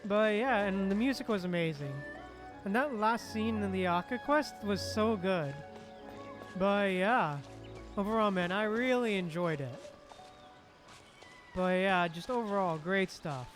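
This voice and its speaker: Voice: medium pitch, measured pace, pauses often. Casual livestream host over prominent music. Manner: repeats phrases, replying to chat. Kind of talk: casual livestream chat while gaming. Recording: music playing behind the voice.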